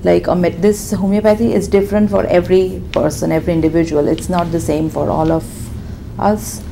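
A woman in her thirties from India with a Gujarati accent, answering questions in a deposition in a conference room, soft-spoken and hesitant. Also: fairly low pitch, flat delivery, brisk, creaky, noisy background. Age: 30s. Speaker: deposition witness, physician assistant. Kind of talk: answering questions under oath.

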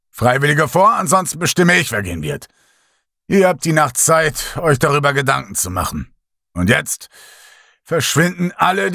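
A man's voice: on the high side, creaky, sharp tone, no echo, studio quality, pitched above the performer's own voice, heavily acted. A man voicing an angry ship captain who barks orders at his crew in a horror audiobook. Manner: barking orders, thundering.

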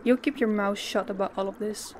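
A woman playing a stern, menacing female boss in an audio drama, speaking in a commanding, deliberate way. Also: mid-range voice, fairly even intonation, slight background noise, close to an everyday voice. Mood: controlled, firm. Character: stern, menacing female crime boss posing as business owner.

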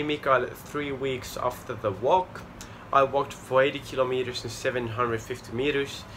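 A man's voice: mid-range voice, sharp tone, slight background noise. A young man with a Finnish accent, talking casually to the camera on a small outdoor porch, earnest and a little sheepish. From Finland. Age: young adult. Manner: earnest and a bit sheepish, with filler pauses. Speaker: charity walker. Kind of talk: casual vlog talk to camera. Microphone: camera at close range.

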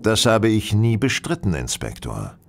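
A shrewd, self-assured detective in a radio drama. His delivery is precise and courteous, theatrical, with lively swings in pitch. Faint ambience sits behind him. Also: unhurried, slight background noise. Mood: deliberate. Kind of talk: German radio play acting.

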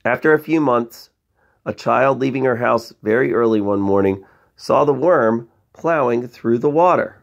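A man's voice: medium pitch, normal speed, vocal fry, dull audio. A man reading a picture book aloud to children, expressively, with chatty asides and questions to young listeners. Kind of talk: bedtime story read-aloud with conversational asides.

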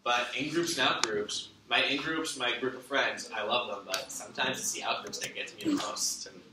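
Heard from the back of a lecture hall, a young man runs a class discussion in a relaxed, affirming way. He sounds distant, with room echo and steady background noise. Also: mid-range voice, normal speed. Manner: relaxed, affirming, filler-heavy. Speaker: student presenter leading class discussion. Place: large lecture hall, recorded from the audience. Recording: echoey hall, far from mic, noisy.

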